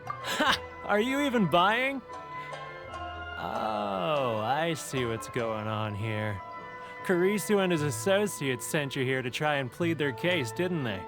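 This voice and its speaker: A man's voice: on the high side, very animated, slow pace, music in the background, full character voice. An actor playing a rude, greedy male vendor in a video game, scornful, brusque and mocking. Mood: mocking, smug. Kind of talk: video game cutscene acting.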